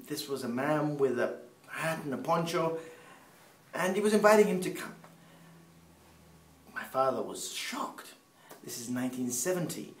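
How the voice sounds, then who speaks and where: Man, 30s, English accent tinged by Peruvian Spanish, measured testimony, echoey room. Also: mid-range voice. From Peru. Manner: unhurried, pausing, with restarts. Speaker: speaker sharing family testimony. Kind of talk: personal faith storytelling. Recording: roomy sound from a distant mic.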